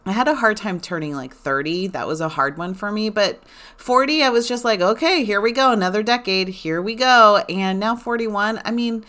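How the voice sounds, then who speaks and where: A woman in her forties chatting casually to her listeners, animated and rambling with lots of fillers. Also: medium pitch, normal speed. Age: 40s. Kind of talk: casual personal chat.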